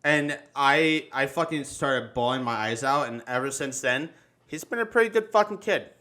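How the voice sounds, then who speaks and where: A man chatting casually and telling personal stories, halting and repeating words, swearing freely. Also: mid-range voice, lively intonation, sharp tone. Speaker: livestream talk show host. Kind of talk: casual chat, personal storytelling.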